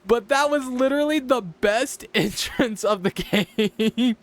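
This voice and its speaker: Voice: medium pitch, takes it slowly. A game streamer giving casual live commentary, reactive and joking, with some swearing. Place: game stream, game music behind.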